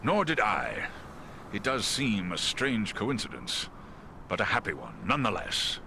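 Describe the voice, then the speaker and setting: An actor playing a noble, formal giant eagle scout in a video game. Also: male voice, on the low side, huge pitch swings, a little slow, vocal fry, background noise, exaggerated voice. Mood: courteous, grave. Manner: formal, stately. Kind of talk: video game cutscene dialogue.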